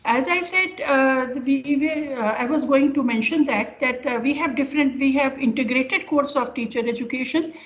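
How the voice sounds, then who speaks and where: An older woman with an Indian accent giving an informal academic lecture over a video call, speaking haltingly as she explains with examples. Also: high-pitched. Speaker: professor giving an online lecture. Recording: dull, lo-fi online call audio.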